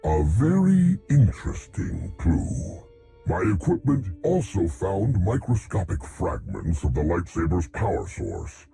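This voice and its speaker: A man voicing a fussy, curious scientist in a game, husky and gravelly, with formal, erudite wording. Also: deep voice, huge pitch swings, a little slow, mellow, soft background music, exaggerated voice. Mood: pleased, explaining. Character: scholarly male scientist, curious, fussy, admiring of knowledge. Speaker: doctor and researcher. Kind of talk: video game cutscene acting.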